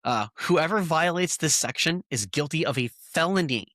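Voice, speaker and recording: Podcast host, animated and sarcastic, bright dry sound, recording at home. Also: mid-range voice, moderate pace, no echo. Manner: animated, incredulous, sarcastic asides. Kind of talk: casual podcast chat.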